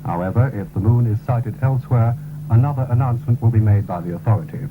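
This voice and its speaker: Man, 1970s radio newsreader, formal scripted delivery, measured, medium pitch, tinny narrow-band broadcast recording. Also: over music. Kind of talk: formal scripted news bulletin.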